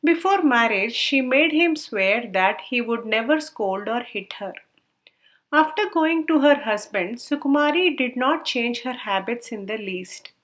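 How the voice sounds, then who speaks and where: A woman storyteller reading scripted tales aloud in an expressive manner. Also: on the high side, a little slow, rough recording.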